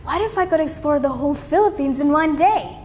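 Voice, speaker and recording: A dreamy young storybook boy, played by a woman, speaks in a very high, eager lilt. He sounds sensitive and childlike, with a long reverb around the voice. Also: lively intonation, brisk, a little mellow, a little hiss or hum. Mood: wistful excitement.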